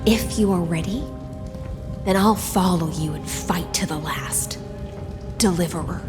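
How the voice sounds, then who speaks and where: A loyal woman commander voiced by an actress speaks in a fairly low, grave voice. She is measured and solemn, in dramatic game style, with clear music behind her. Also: natural voice. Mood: solemn, resolute. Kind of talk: dramatic video game voice acting.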